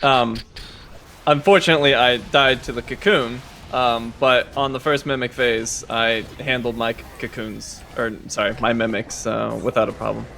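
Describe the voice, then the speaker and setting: A hoarse man with a raspy voice, a game producer chatting casually as a guest, hesitant and often restarting his sentences. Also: mid-range voice, steady tone, moderate pace, sharp tone, a little hiss or hum. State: hoarse from a cold. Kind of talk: casual chat while playing.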